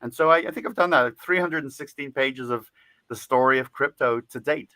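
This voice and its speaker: A man chatting as an interviewed author, his tone bright and forward. He talks loosely with many fillers and restarts. The sound is dry and close. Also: medium pitch, fairly quick, no echo. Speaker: guest author. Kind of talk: casual interview talk.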